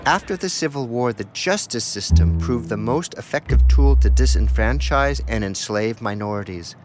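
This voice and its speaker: A man's voice: mid-range voice, animated, moderate pace, music in the background. An American man reading a first-person scripted documentary narration. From United States. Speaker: narrator, radio documentary author. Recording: clear outdoor and car background noise.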